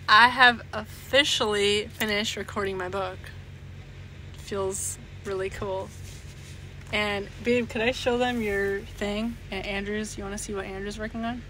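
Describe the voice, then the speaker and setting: A young adult woman chatting casually to camera in a rambling, halting way, often trailing off. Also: mid-range voice, fairly even intonation, bright, forceful tone. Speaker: vlogger. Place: various spots on the go in Bali. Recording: noisy ambient phone-style vlog recording.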